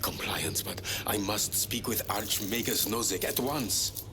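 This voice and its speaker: Man as slow, haughty tech-priest. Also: on the low side, background noise, lightly acted. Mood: guarded. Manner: measured, precise, aloof. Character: haughty, formal tech-priest scholar devoted to machine god. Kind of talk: dramatic video game acting.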